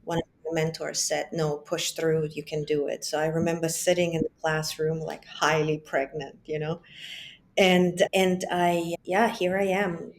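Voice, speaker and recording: Woman in her 40s on a call from home, lowish voice, reflective, lots of restarts. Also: flat delivery, measured pace. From Germany. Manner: reflective, with frequent restarts and asides. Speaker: psychologist and business founder, guest. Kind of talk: casual interview conversation. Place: home, children in the background.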